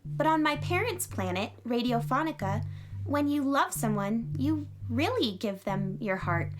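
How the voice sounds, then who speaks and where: Earnest high-voiced young space girl. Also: very animated, a little slow. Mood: tender, hopeful. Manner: cheerful, sincere, plainspoken. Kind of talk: children's radio drama acting. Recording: music behind the voice.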